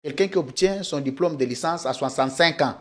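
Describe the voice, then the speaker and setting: A man's voice: a little high, brisk. Insistent man in a heated debate. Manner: insistent, emphatic, talks over the host. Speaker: political guest.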